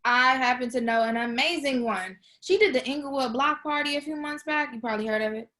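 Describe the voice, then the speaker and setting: Casting: actress for awkward, eager young woman, lightly high voice, hesitant delivery, table-read drama. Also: fairly quick, sharp tone. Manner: hesitant, repeats herself. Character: awkward, eager young woman fibbing her way through job hunt.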